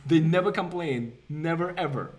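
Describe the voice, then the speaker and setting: A man, co-hosting a live stream and telling an animated story in casual chat. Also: normal speed. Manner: animated, acts out quoted voices with big pitch jumps. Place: indoor room with a virtual city backdrop.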